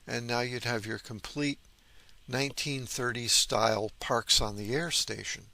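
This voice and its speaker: A man with a heavily creaky, gravelly voice and a bright, forceful edge presents technical material informally. He explains in measured steps and stresses key words. The sound is close and dry. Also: medium pitch, fairly even intonation, dry recording. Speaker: presenter of a vintage ham radio channel.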